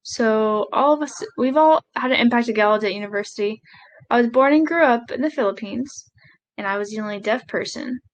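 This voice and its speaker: A woman voicing a live sign language interpretation of a speech, halting, with pauses and self-corrections. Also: on the high side, low-quality recording.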